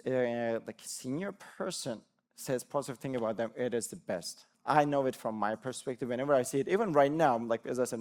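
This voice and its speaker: A man from Turkey, Turkish being his native tongue, speaks to a conference audience of developers. His voice sits in an ordinary middle pitch, and his delivery is earnest and encouraging. He often restarts words and leans on "like" as a filler. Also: a little mellow. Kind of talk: conference talk.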